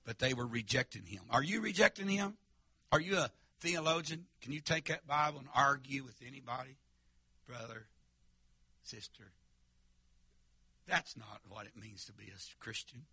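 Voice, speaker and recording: Fiery preacher, bright and dry. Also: male voice, medium pitch, moderate pace, pauses often, slightly rough, no room sound. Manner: fiery holiness preaching, stammering restarts, calls out to the congregation. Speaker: pastor. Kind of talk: sermon. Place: church sanctuary.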